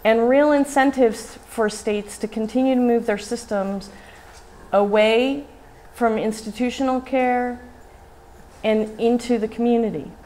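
A middle-aged woman giving a conference keynote at a podium microphone in a hotel hall, animated and often asking "right?". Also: a little high, measured pace. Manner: animated, gestures widely, asks rhetorical "right?". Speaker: keynote speaker.

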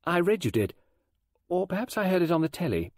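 Man voicing a pushy, nosy teenage girl, high-pitched. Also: sing-song, fairly quick, no echo, clean studio sound. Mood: pushy, curious. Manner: pushy, questioning. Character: boastful, nosy, sturdy thirteen-year-old girl.

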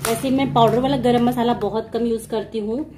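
A woman's voice: fairly high pitch, fairly even intonation, fairly quick, dark tone, faint music behind. A young woman from India chatting casually to viewers in a home vlog, explaining things step by step in her kitchen. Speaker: vlogger. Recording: echoey home room.

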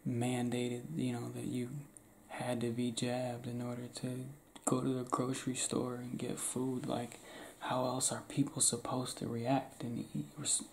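Young man, half-whispered, laid-back, gravelly, close to the camera, small room. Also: medium pitch, completely flat delivery, a little slow, hardly any pauses, slight background noise, poor audio. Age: young adult. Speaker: ASMR roleplay creator. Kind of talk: ASMR roleplay, spoken to the listener. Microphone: camera close to face.

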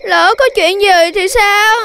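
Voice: very high-pitched, slow, vocal fry, noisy background, heavily acted. An actor voicing a timid, whimpering little rabbit child in a children's cartoon. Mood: fearful, tearful.

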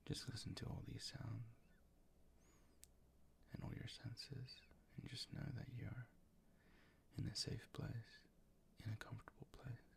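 A man performing improvised boyfriend ASMR in a breathy, gravelly whisper, tender and soothing, close to the microphone. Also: medium pitch, little pitch movement. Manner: tender, slow, soothing, gently coaxing.

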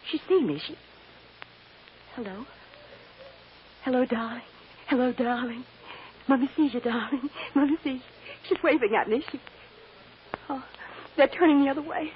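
An actress playing an anxious, doting mother on trial in a radio drama, halting and emotional. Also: high voice, lightly acted. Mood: tearful, overjoyed. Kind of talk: 1950s radio drama acting. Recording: dull noisy old radio recording.

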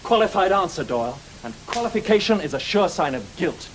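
An imperious, accusing Victorian detective. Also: male voice, sits high, sing-song, brisk, a little hiss or hum, rough recording. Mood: scornful, vengeful. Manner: theatrical, prosecutorial, biting. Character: accusing, wounded, imperious fictional detective confronting his author. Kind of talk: radio drama acting.

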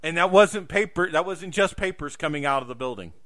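A man's voice: a little high, expressive, fairly quick, a bit bright. A man hosting a casual podcast conversation, speaking emphatically and repeating himself to drive points home. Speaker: podcast host.

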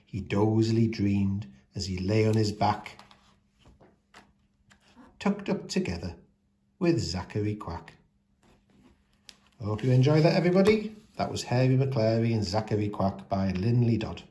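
Casting: male storyteller, lowish voice, expressive read-aloud of rhyming picture books for young children. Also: measured pace, pauses often.

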